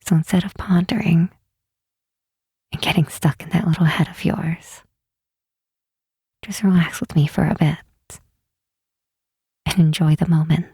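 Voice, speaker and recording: A woman playing a gentle, wistful demon queen in a soft ASMR roleplay, speaking slowly and tenderly in a breathy, hushed voice. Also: low voice, no room sound, studio quality, exaggerated voice. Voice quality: breathy, whispery. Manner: unhurried, hushed, tenderly reassuring.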